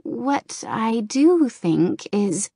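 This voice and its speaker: A woman reading an audiobook, voicing a gentle, loyal female dragon who speaks softly and affectionately. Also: on the high side, big pitch swings, drawn-out words, gravelly, breathy edge, dry recording, studio quality, voice pushed up, heavily acted. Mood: tender.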